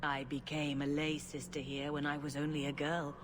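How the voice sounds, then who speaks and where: A woman playing a patient, elderly high priestess in a video game, speaking in a measured, gentle and solemn way. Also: level tone, close to an everyday voice. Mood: gentle, reminiscing. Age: older. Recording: clear ambient noise behind voice.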